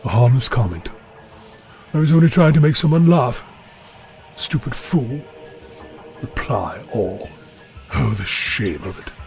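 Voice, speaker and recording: An actor playing a pompous, fretful government ambassador who grumbles gloomily and disdainfully in a comic audio drama. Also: male voice, mid-range voice, lively intonation, a little slow, vocal fry, long pauses, darkish tone. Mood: exasperated. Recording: music behind the voice.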